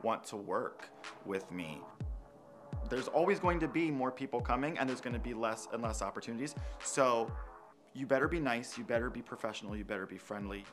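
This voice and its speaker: A man's voice: medium pitch, a little fast, over music. A male drag performer speaking candidly in a documentary interview.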